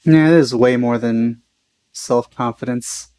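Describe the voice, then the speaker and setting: A man's voice: mid-range voice, measured pace, mellow, clear, resonant tone, poor audio. A man hosting a let's play, giving casual, hesitant, rambling commentary as he plays. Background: faint game music.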